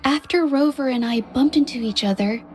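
A woman's voice: high-pitched, moderate pace, darkish tone, natural voice. A woman playing a shy, easily flustered young painter in a video game, hesitant and stammering. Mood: hesitant, concerned. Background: game music.

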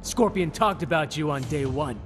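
A man's voice: fairly high pitch, animated, moderate pace, over loud music, close to an everyday voice. A young man playing a cocky, wisecracking ninja warrior in a video game. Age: young adult. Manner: glib, wisecracking. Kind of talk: video game fight banter.

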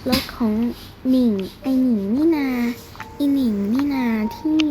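A young female performer voicing a vain, sassy, grumbling schoolgirl with drawn-out exclamations in a game role-play drama. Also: high voice, noisy background. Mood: flustered. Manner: exclamatory, drawn-out whining interjections.

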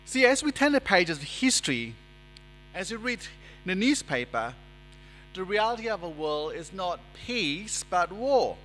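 A man preaches from a lectern in a church, measured and emphatic as he builds his points. His voice sits a little high and sounds bright and ringing. The long reverb of the hall trails each phrase, with a low mains hum underneath. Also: big pitch swings. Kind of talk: sermon. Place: church sanctuary, indoors. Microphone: lectern microphone.

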